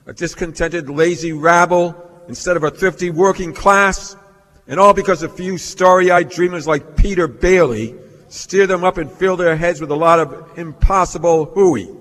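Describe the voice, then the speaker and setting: Scornful old moneyed villain played by a male actor, raised pitch, haughty radio-drama delivery in a reverberant hall. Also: a bit bright. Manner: haughty, scornful, deliberate speech. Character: cold, contemptuous old rich businessman villain. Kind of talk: staged old-time radio play acting. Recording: reverberant stage hall recording.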